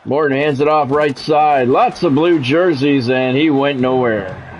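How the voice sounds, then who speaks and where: A man's voice: mid-range voice, a little slow, slight background noise, low-quality recording. A man doing play-by-play commentary on a football video game in a conversational way, with wry asides. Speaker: play-by-play announcer.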